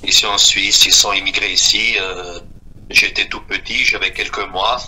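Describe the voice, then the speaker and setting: The sort of man heard giving his testimony on a community radio slot: fifties, low-pitched, feeling his way haltingly from phrase to phrase. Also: steady tone, pushed and bright, rough recording. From Switzerland. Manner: halting, repeating himself. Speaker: guest giving a personal testimony. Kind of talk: unscripted spoken testimony.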